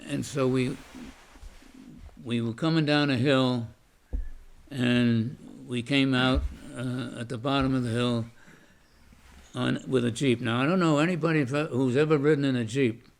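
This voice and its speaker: An older man, a veteran, recalling his past in an oral history interview, halting with many restarts and fillers and a loud voice. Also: medium pitch, fairly even intonation. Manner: says he has a loud voice.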